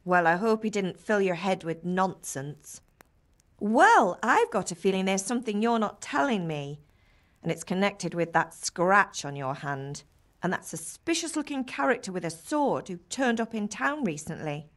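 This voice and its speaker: A woman's voice: mid-range voice, moderate pace, close to an everyday voice. An actress playing a middle-aged village mother in a medieval game, who scolds and teases with affection. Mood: teasing, chiding. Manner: nagging, teasing, animated. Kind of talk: dramatic video game dialogue.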